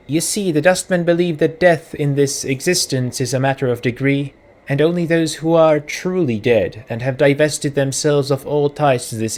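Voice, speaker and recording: A man's voice: mid-range voice, darkish tone. A man with a Czech accent, a native Czech speaker, hosting a let's play in casual commentary, reading game text aloud and musing through self-corrections as he thinks aloud.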